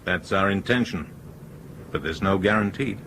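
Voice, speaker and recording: An actor in a radio drama playing a cool, persuasive ringleader who speaks in an assertive, matter-of-fact way. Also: male voice, deep voice, normal speed, noisy background. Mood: determined. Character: cool, persuasive male ringleader plotting a takeover.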